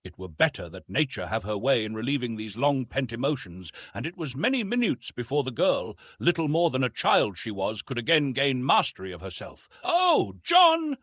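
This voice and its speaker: A man's voice: mid-range voice, very animated, fairly quick, hardly any pauses, a bit bright, slightly rough, dry recording, studio quality. A man reading an adventure novel as a narrator, formal, solemn and dramatic in his storytelling. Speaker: audiobook narrator.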